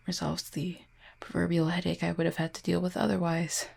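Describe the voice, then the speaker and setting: A woman playing an injured, proud and guarded cupid in an intimate audio roleplay, speaking formally and wryly in a husky, pained voice with weary sighs. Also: lowish voice, monotone, exaggerated voice. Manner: formal, wry wording with weary sighs and hesitant pauses. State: in pain, strained.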